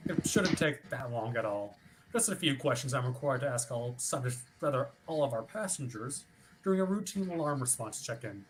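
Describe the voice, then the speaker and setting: An actor as officious, animated ship watch officer. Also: medium pitch, normal speed, noisy background, lightly acted. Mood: politely insistent. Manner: formal, wordy, over-polite. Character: officious ship watch officer, chipper, rule-bound, politely stubborn. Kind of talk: staged reading of a play over video stream.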